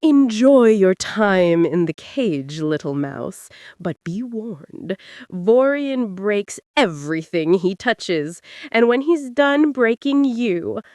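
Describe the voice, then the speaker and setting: A woman's voice: mid-range voice, very animated, slow pace, darkish tone, no room sound, clean studio sound. A woman voicing a cold, haughty noblewoman in an audiobook, with a scornful, menacing drawl. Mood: smug, menacing.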